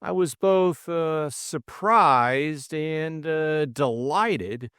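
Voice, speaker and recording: A man voicing a pompous, effusive gentleman politician in an audiobook, with a formal and halting delivery. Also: on the high side, clean tone, no echo, clean studio sound, voice pushed up. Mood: effusive, flustered. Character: pompous, effusive cabinet-minister gentleman hosting a house party.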